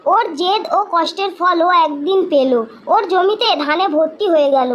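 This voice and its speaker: Very high-pitched woman storyteller. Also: normal speed, dull audio, slight background noise. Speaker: narrator. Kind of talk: scripted children's storybook narration.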